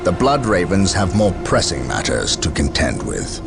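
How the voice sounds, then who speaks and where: An actor playing a stern, resolute armoured warrior captain in a video game, speaking in a formal, commanding way. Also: male voice, deep voice, huge pitch swings, moderate pace, vocal fry, music in the background, heavily acted. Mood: firm, stern. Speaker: military captain. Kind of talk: dramatic video game cutscene acting.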